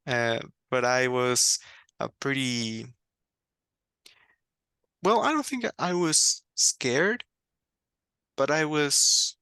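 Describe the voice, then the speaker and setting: Gravelly-voiced man. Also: medium pitch, drawn-out words, long pauses, pushed and bright, no echo, studio quality. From Mexico. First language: Spanish. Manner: reflective, candid, laughs often, restarts words. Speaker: guest. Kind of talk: personal faith story in conversation.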